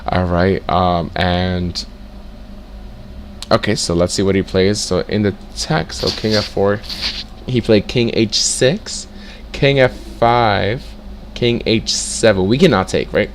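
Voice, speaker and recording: Low-voiced young man thinking aloud. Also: takes it slowly, slight background noise. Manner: reads out moves, breaks into excited exclamations. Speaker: chess tutor. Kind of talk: casual screen-share lesson, thinking aloud.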